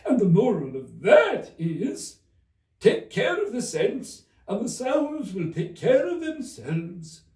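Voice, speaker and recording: A man voicing a gushing, moralizing old Duchess for a storybook, with theatrical, drawn-out, sing-song pronouncements and overbearing affection. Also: sits high, huge pitch swings, a little mellow, voice pushed up, full character voice. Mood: grandly moralizing. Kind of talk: theatrical storybook character reading. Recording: roomy, echoey, distant from the mic.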